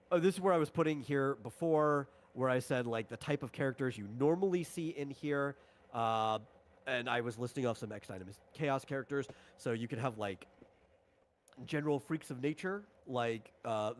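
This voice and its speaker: A man talking casually on a livestream as a tabletop game designer, explaining rules in a rambling, informal way. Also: medium pitch.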